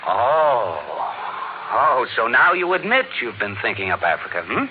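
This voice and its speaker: A man's voice: mid-range voice, sing-song, unhurried, a little hiss or hum. An actor playing a worried, stern middle-aged father in a 1950s radio drama. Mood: wary, reasoning. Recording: narrow, roomy vintage radio recording.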